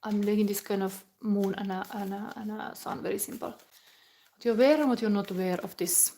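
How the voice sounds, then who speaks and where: A woman in her forties, giving a live tarot reading in a halting, musing way with soft whispered asides. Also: mid-range voice, fairly even intonation. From Finland. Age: 40s. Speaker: tarot reader.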